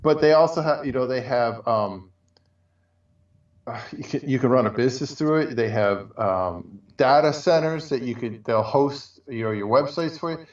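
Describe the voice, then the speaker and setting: A man with an average-pitched voice co-hosts a casual talk show. He rambles in a halting, conversational way. The sound is roomy and muffled, as if he sits away from the microphone. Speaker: show co-host. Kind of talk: casual chat. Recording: roomy, distant, dull microphone.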